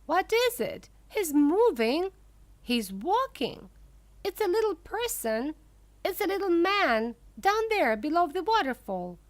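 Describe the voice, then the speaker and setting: A woman's voice: very high voice, very animated, unhurried, dry recording, voice pushed up, exaggerated voice. A woman reading aloud and voicing a spoiled little girl who shrieks frantically. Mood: frantic.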